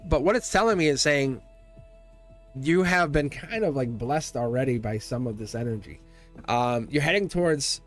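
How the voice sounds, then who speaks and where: A man giving a live-streamed tarot reading, chatty and teasing, laughing often as he talks to his viewers. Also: medium pitch. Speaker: tarot reader.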